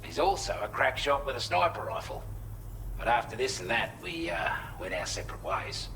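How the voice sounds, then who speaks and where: An actor with an Australian accent playing a plain-spoken, earnest shelter resident briefing a courier in a video game. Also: male voice, mid-range voice, big pitch swings, normal speed, noisy background, natural voice. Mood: matter-of-fact, cautionary. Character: matter-of-fact male shelter resident, allied quartermaster briefing a courier. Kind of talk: video game cutscene dialogue, mission briefing.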